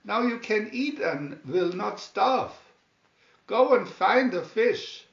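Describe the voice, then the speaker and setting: A man putting on a high-pitched folksy cartoon sailor. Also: animated, slow pace, creaky, roomy sound, lo-fi sound, exaggerated voice. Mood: reassuring. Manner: folksy mangled grammar, emphatic. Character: gruff good-natured cartoon sailor man, folksy and plucky.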